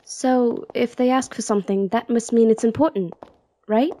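A woman's voice: a little high, steady tone, moderate pace, mellow, lightly acted. A woman playing an earnest, thoughtful young princess in an audio drama, polite and articulate. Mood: curious, earnest. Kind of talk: audio drama acting and first-person narration.

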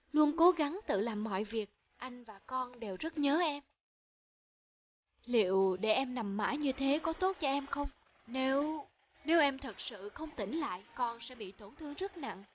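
A woman voicing an earnest, emotional middle-aged husband and father in an animated moral story. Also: high voice, muffled recording, slight background noise. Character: distressed devoted husband and father of middle age.

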